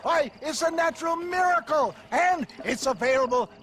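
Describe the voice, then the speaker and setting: An actor playing a smooth-talking traveling tonic salesman in a game, with theatrical sales patter and blustering chuckles. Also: very high-pitched, animated, moderate pace, sharp tone, faint music behind, heavily acted. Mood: hawking. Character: smooth-talking male snake-oil huckster, showy and evasive. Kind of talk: video game cutscene voice acting.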